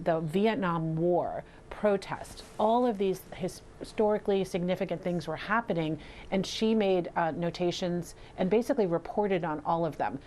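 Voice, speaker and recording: A woman's voice: lowish voice, darkish tone, a little hiss or hum. A woman filmmaker giving explanatory answers as a guest in an interview.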